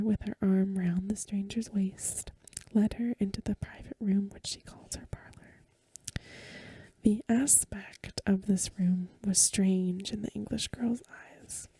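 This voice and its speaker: A woman softly whispering a story for ASMR in a breathy voice, with gentle, careful phrasing, close to the microphone. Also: medium pitch, dry recording. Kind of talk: soft-spoken ASMR story reading.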